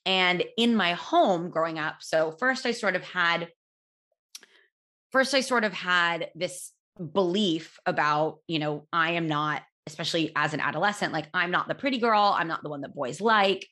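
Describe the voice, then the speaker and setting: Low-voiced woman telling a personal story. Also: normal speed. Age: 30s. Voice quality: loud voice. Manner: candid, chatty, lots of fillers and restarts. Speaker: podcast host.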